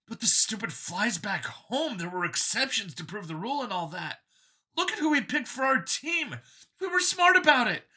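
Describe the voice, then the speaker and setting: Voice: high voice, sharp tone, slightly rough, voice pushed up, full character voice. A narrator voicing a smug, bigoted android copy of a man in an audiobook, with an eager, boastful, emphatic and sneering delivery.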